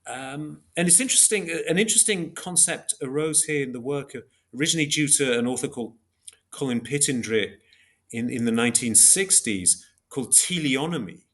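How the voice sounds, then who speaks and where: A man giving an academic explanation in an interview, measured and hesitant, working step by step through examples. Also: medium pitch, a bit bright. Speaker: podcast guest, philosopher of biology.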